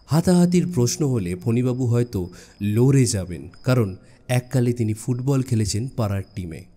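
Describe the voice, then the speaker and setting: A man narrating a suspense story in a measured, dramatic way with deliberate pauses. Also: mid-range voice, expressive, mellow. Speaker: audiobook narrator. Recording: music bed behind the voice. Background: background music.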